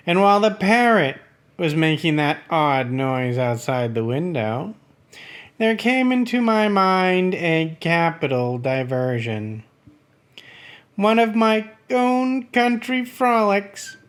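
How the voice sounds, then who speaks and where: A woman voicing a crippled male court jester in a gothic tale, outwardly tranquil and quietly cunning, with measured delivery. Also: sits low, pitched below the performer's own voice. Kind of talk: dramatic gothic story reading.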